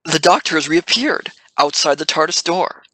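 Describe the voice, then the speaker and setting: A man's voice: on the high side, animated, moderate pace, bright, forceful tone, no echo, poor audio. A man reading scripted stage narration for an audio drama.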